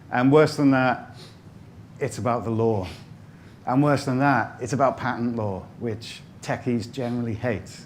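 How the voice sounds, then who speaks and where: A man, a startup founder, speaking halting and hesitant on a panel, restarting his phrases as he asks for advice. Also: mid-range voice, slight background noise. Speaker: startup founder pitching a patent law product. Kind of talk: panel Q&A on a stage.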